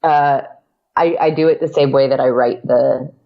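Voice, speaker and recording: A woman's voice: very deep voice, fairly even intonation, normal speed, highs cut off, slight background noise. A woman guest on a podcast talking casually about making her audio drama, hesitant with many fillers and restarts. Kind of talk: casual interview chat.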